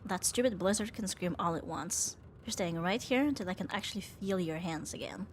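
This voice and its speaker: A woman's voice: on the low side, a little fast, slight background noise, natural voice. A woman playing a young tomboy roommate, affectionate but flustered, in an intimate ASMR romance roleplay with a hesitant, teasing delivery. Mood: tender. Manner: hesitant, teasing, breathy sighs and nervous laughs.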